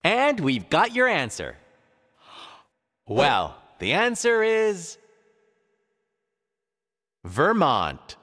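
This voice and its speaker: A man voicing an upbeat animated quiz show host, with theatrical, emphatic scripted announcing. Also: a little high, drawn-out words, pauses often, exaggerated voice. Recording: large hall reverb, faint crowd noise.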